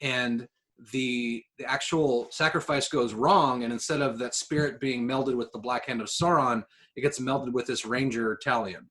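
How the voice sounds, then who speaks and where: Guy cohosting a podcast, midrange voice, informal and into it, pitching stuff like a fan. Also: fairly even intonation, moderate pace, a bit bright. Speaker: podcast co-host. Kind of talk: casual podcast chat.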